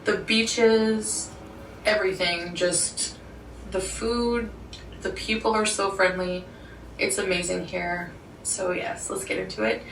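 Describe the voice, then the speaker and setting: A woman's voice: medium pitch, steady tone, slow pace, fairly bright tone, reverberant. Young woman rambling to camera, lots of "like", sounds a bit far from the mic, faint hiss. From Ottawa, Canada. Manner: chatty, rambling, with frequent "like" and small laughs. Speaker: vlogger. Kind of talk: sit-down talk vlog.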